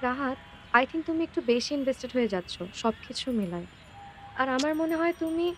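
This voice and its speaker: High-voiced, gently resolute young Bangladeshi woman. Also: animated, a little fast, faint music behind, low-quality recording. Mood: earnest, gentle. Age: young adult. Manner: earnest, mixes English words into speech. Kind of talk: naturalistic short-film dialogue.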